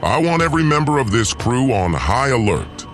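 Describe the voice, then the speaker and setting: A man's voice: medium pitch, sing-song, moderate pace, fairly bright tone, faint music behind, pitched above the performer's own voice. A man playing an imperious, gloating alien lord in an audio drama, with a pompous, commanding and menacing delivery. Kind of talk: first-person audio drama villain.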